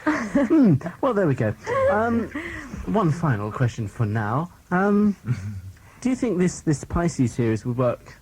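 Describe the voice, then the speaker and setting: A man's voice: on the high side, sing-song, slow pace, a little mellow, a little hiss or hum, poor audio. A man interviewing people casually, with a teasing manner.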